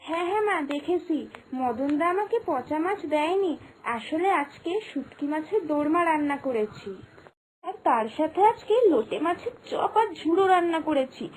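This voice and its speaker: A woman's voice: sits high, expressive, moderate pace, a little hiss or hum, low-quality recording. A woman voicing a confident, caring young wife and daughter-in-law in a moral-story cartoon, earnest and persuasive. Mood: eager, reassuring.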